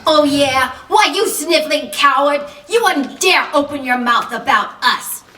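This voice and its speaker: A woman's voice: high-pitched, animated, unhurried, pushed and bright, exaggerated voice. A woman playing a scornful, fiery femme fatale in a 1940s-style crime radio drama. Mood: furious scorn. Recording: echoey, distant microphone.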